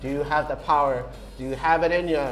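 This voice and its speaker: A young man from Malaysia calls an esports draft with a high, light voice. He jokes with his co-caster and pumps up the crowd between picks, switching from easy chatter to loud hype. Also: a little fast, faint music behind, low-quality recording. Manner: energetic, playful hype-building. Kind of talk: live esports commentary.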